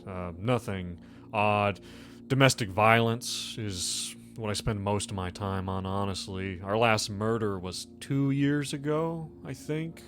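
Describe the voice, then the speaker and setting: A man's voice: fairly low pitch, slow pace, fairly bright tone, faint music behind. A man speaking as game master, narrating a tabletop role-playing game in a casual, improvised way.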